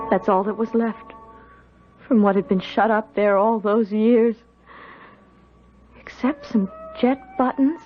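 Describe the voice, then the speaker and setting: An actress playing an earnest, respectful young servant woman in a radio drama. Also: on the high side. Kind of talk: 1970s radio drama acting and narration. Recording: dull vintage radio recording.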